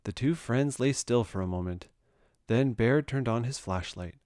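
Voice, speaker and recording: A man reading a children's story aloud with expressive narration. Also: medium pitch, moderate pace, no room sound, studio quality. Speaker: storybook reader.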